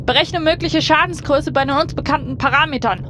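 Woman as a high-voiced, terse space station captain. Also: noisy background, close to an everyday voice. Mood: tense, dry. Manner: brisk commands, dry sarcasm, swearing. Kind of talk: science fiction audio drama acting. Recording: music bed behind voice.

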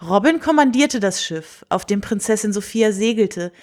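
A woman's voice: mid-range voice, animated, moderate pace, a little mellow. A woman narrating a scripted fairy tale as a storyteller.

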